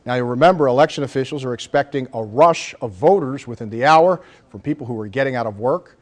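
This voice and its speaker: A man's voice: mid-range voice, quick pace. American man anchoring local TV news. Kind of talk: scripted local TV newscast. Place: TV news studio.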